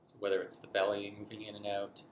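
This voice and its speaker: A man leading a guided body scan meditation in a gentle, unhurried manner with long pauses. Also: fairly low pitch, monotone. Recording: roomy echo, faint background noise.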